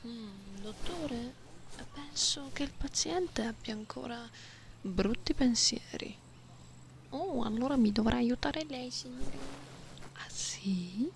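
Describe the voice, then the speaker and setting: A woman playing a soothing young catgirl nurse in an ASMR roleplay, speaking softly and teasingly, often in a whisper. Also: fairly high pitch, animated, slow pace. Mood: soothing, playful. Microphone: close binaural microphone. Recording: noisy close binaural recording.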